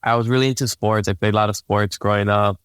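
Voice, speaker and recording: Low-voiced young Hawaiian man, smooth and flat. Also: fast, no echo, clean studio sound. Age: young adult. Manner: laid-back, relaxed, repeats words when starting sentences. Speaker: podcast guest. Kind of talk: casual interview storytelling.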